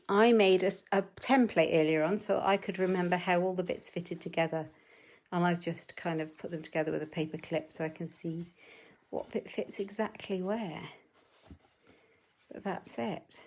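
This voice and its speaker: A woman demonstrating card-making in a chatty, step-by-step way, her voice a little croaky. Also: fairly low pitch, a little mellow. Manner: chatty, measured step-by-step instructions. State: slightly croaky voice. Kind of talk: live crafting tutorial.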